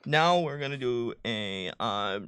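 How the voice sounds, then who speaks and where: A man's voice: mid-range voice, lively intonation, takes it slowly, a bit bright, smooth, dry recording. A man co-hosting a live stream as a game designer, casually explaining tabletop rules with a pedantic eye for detail.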